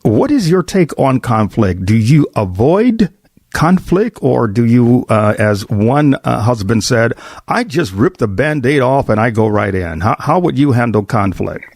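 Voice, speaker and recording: A middle-aged man hosting a roundtable conversation as a pastor, speaking deliberately and haltingly, often repeating his words. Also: mid-range voice, big pitch swings, a little mellow, no echo, studio quality. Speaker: host, pastor.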